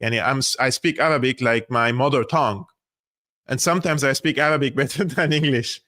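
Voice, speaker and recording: A man's voice: medium pitch, fairly quick, pushed and bright, dry recording, clean studio sound. A man from Syria, hosting a political live stream, arguing emphatically and reading an article aloud. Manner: emphatic and argumentative, with restarts. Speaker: host of a political analysis channel.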